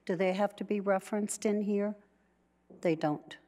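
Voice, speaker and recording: A woman councillor speaks up in a council meeting in an even, flat tone. She sounds hesitant and apologetic, and her voice is going hoarse. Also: medium pitch, normal speed. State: losing her voice. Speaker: town councillor.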